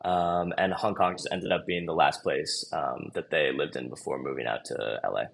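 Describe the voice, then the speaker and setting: A young man from Los Angeles, guesting on a podcast, talks in a loose, chatty, easygoing way. His voice sits very low with a gravelly, fry-laden edge. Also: moderate pace. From Los Angeles, USA. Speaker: podcast guest, marketing manager. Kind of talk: casual interview chat.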